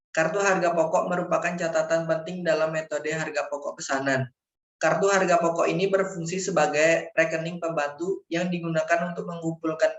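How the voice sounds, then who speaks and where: A young man, a university student formally reading out a scripted slide presentation, sometimes stumbling over words. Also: fairly high pitch. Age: young adult. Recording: echoey room, dull lo-fi microphone.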